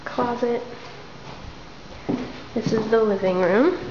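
A woman casually narrating a walkthrough of an abandoned house in a soft, half-whispered way, filmed on a handheld camera. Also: a little high, slow. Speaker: urban explorer filming a tour. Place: empty abandoned row house, indoors. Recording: roomy, dull lo-fi camera audio with hiss.

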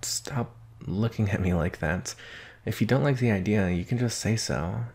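A man's voice: fairly low pitch, creaky, a little mellow, husky. A man playing a nervous young werewolf student with a crush, speaking hesitantly and intimately in an ASMR roleplay. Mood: bashful, awkward. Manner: hesitant, bashful, halting, sighing.

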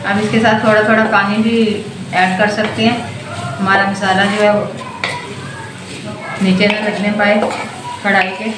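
A woman's voice: medium pitch, measured pace, long pauses. Echoey, distant woman talking through a recipe. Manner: calm, homely, talks through each step while cooking. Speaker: cooking channel host. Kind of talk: step-by-step cooking demonstration. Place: home kitchen, cooking at the stove. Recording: echoey, distant, noisy home recording. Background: frying and sizzling from the pan.

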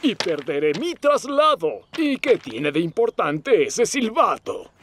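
A man's voice: high voice, sing-song, slow pace, a bit bright, full character voice. A man playing a fussy, impatient train passenger who complains, in a Latin American Spanish cartoon dub. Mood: exasperated. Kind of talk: animated children's series dub.

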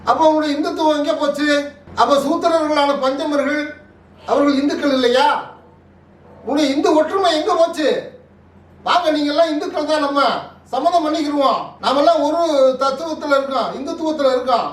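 Very high male voice, Tamil Nadu accent, impassioned political speaker, rhetorical questions, echoey room, distant mic. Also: fairly quick. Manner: forceful, oratorical, full of rhetorical questions. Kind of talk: impassioned political commentary in an interview.

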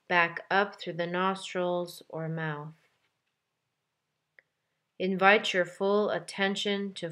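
Slow, low-pitched woman guiding meditation. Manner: calm, measured, gentle, with deliberate pauses. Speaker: meditation guide, yoga teacher. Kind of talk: guided meditation, soft instructional delivery.

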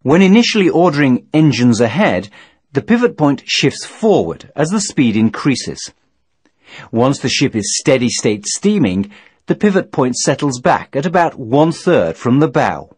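Husky male training narrator, lively intonation. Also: mid-range voice, no echo. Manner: measured, formal, didactic. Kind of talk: scripted instructional narration.

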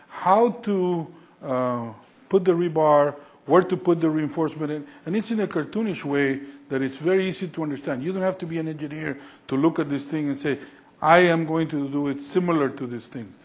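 A middle-aged man from Peru, an engineer answering audience questions after a lecture, talking in a halting way with many fillers and restarts, recorded on a lapel mic in a lecture hall. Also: fairly high pitch. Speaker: structural engineer presenting. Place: lecture hall with projection screen.

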